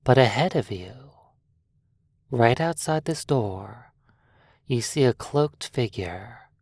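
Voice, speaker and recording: A narrator softly telling a sleep hypnosis roleplay story in a breathy voice, deliberately, with long pauses between phrases. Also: mid-range voice, no room sound, clean studio sound. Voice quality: breathy, soft.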